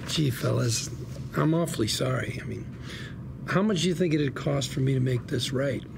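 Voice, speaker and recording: A man playing a glib, sarcastic small-time lawyer with a gravelly, theatrical delivery, reading drama dialogue at a table. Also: mid-range voice, moderate pace, noisy background. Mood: mock apologetic. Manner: wisecracking, theatrical. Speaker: actor at a script table read. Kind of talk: acted dialogue at a table read.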